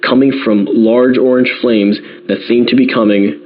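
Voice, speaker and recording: A man's voice: mid-range voice, fairly even intonation, natural voice. Man acting grave radio newsman, slightly dull sound. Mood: grave, measured. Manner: measured, careful, repeating key details. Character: grave New York radio newsman breaking disaster news. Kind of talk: live breaking-news radio acting.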